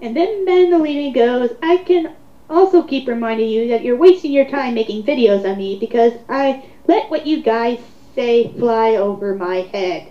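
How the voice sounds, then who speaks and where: A woman with a fairly high but mellow voice co-hosts a casual commentary, reading comments aloud. The recording is echoey and a bit dull. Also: moderate pace. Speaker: co-host reading out online comments. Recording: roomy, dull lo-fi home recording.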